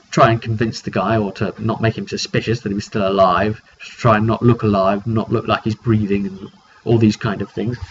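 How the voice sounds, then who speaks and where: British guy chatting through his tabletop game recap, dry little asides, kinda muffled lo-fi audio w some noise. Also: fairly low pitch. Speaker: game master recounting a tabletop roleplay session. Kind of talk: casual unscripted recap to camera. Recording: dull lo-fi sound with clear background noise.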